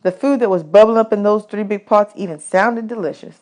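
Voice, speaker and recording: A woman reading a children's novel aloud as expressive audiobook narration. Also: medium pitch, brisk. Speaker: audiobook reader.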